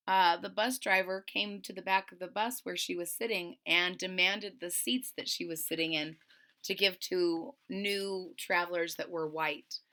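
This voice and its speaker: Lady teacher reading a picture book out loud, bright voice, explains stuff as she goes. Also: lowish voice. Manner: clear, explaining asides for children. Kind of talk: storybook read-aloud with explanations.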